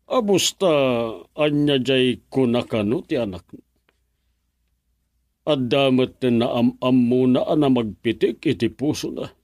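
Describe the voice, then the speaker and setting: A man's voice: mid-range voice, darkish tone, no echo, clean studio sound, lightly acted. An actor with a northern Philippine accent playing a strict, fretful middle-aged father in a radio drama, grumbling and exclamatory. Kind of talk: Ilocano radio drama acting.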